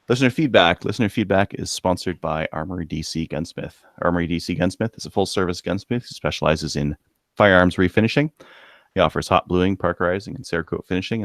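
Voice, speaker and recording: A man with a low voice hosts a podcast casually. His manner is offhand and dryly humorous, and the recording is tight and free of echo. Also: studio quality. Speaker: podcast host. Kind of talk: casual banter and read-out segments.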